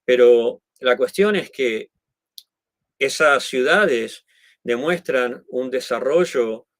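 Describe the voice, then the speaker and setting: A man's voice: medium pitch, animated, slow, fairly bright tone, dry recording, clean studio sound. A middle-aged man from Argentina with an Argentine Spanish accent, explaining things in conversation with many restarts and tag questions. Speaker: guest.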